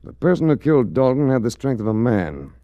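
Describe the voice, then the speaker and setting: An actor playing a terse, controlled hard-boiled detective in a 1940s radio drama. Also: male voice, medium pitch, very animated, a little fast, no echo, lo-fi sound, lightly acted. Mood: grave, probing. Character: cool, hard-boiled male detective hero. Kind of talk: 1940s radio detective drama acting with first-person narration.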